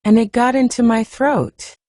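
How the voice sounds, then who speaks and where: A woman's voice: on the high side, fairly even intonation, moderate pace, mellow, dry recording, clean studio sound, pitched above the performer's own voice, natural voice. A woman voicing a prim young girl with a mischievous streak in a storybook, dignified and confiding.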